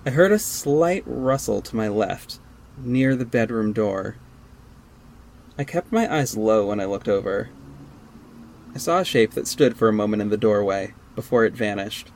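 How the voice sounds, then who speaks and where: A man acting as a guarded, sardonic costumed vigilante in an audio drama, measured and terse, with an exasperated edge. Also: lowish voice, animated, darkish tone, slight background noise. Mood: wry, brooding. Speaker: narrator and lead actor. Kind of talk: first-person audio drama narration and dialogue.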